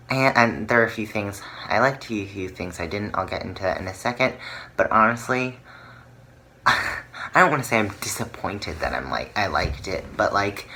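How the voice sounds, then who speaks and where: A man talking in a chatty, informal and halting way, giving casual opinions as a book vlogger. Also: fairly low pitch, sharp tone, a little hiss or hum.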